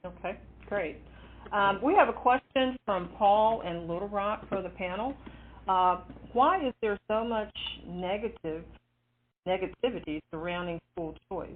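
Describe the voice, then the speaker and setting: A woman's voice: mid-range voice, measured pace. A woman hosting a tele-town hall, friendly and hesitant, with frequent uhs. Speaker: host. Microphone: telephone. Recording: tinny phone line with faint noise.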